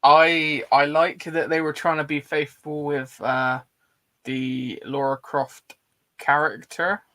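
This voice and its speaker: A man's voice: a little high, flat delivery, slow, pushed and bright. A man, hosting a casual film chat and reading out live comments in an informal, blokey way. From Bristol, England. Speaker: host of a film discussion livestream.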